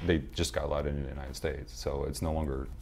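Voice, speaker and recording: A man with a very deep, slightly hoarse and smooth voice talks quickly as a podcast guest. He rambles through stories with restarts and fillers in relaxed conversation. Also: slight background noise. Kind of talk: casual interview chat.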